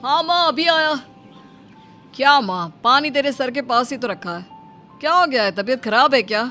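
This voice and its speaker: A woman's voice: sits high, lively intonation, moderate pace, pushed and bright, faint music behind. A woman voicing a patient young son. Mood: caring. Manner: patient, reasoning. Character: dutiful working son around thirty. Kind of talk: cartoon voice acting.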